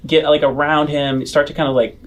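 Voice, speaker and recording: A man working as game master, narrating a tabletop game off the cuff in a loose, halting, conversational way. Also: a little high, flat delivery. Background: music.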